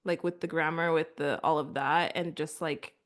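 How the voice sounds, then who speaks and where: A woman's voice: deep voice, fairly even intonation, normal speed. A woman talking casually as a podcast guest, chatty with lots of fillers. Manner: chatty, filler-heavy, laughs often. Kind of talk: casual conversation.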